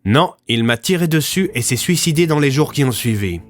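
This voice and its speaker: A man's voice: mid-range voice, expressive, fairly quick, faint music behind, pitched above the performer's own voice, lightly acted. Man acting a pointed police inspector, raised voice. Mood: pointed, dry. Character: plain-spoken, skeptical police inspector.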